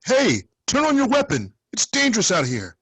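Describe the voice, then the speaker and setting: A man playing a gruff, protective bounty cop in a screenplay table read, husky and cautioning in a familiar way. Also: extremely high pitch, wildly swooping pitch, moderate pace, fairly bright tone, no echo, exaggerated voice. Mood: wry, protective. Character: Red, gruff protective male veteran bounty cop partner.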